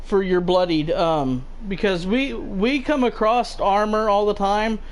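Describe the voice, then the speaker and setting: A man's voice: high-pitched, a little slow. A man with a mild Southern US accent chatting casually about a game as host, rambling with many fillers and restarts. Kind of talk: casual gaming chat.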